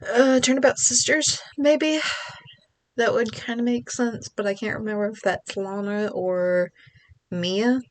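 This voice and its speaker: A woman's voice: on the high side, steady tone, a little slow. A young woman with a stuffy, congested voice, chatting and rambling in a casual let's-play of a video game. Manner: chatty, rambling, self-deprecating. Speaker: game streamer.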